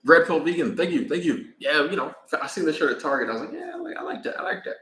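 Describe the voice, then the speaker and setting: A man's voice: a little high, huge pitch swings, fast talker, bright, forceful tone, roomy sound. Laid-back American man chatting live. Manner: rambling, laid-back, thanks viewers by name. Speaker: vlogger. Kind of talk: casual live-stream chat. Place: in Mexico, traveling.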